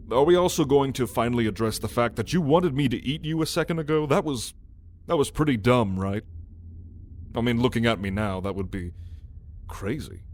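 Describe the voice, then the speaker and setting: A man's voice: medium pitch, slight background noise, close to an everyday voice. A man voicing a lazy, playful old male dragon in an ASMR roleplay, with a teasing, sardonic drawl. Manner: unhurried, teasing, chuckling drawl. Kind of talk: ASMR roleplay addressed to the listener.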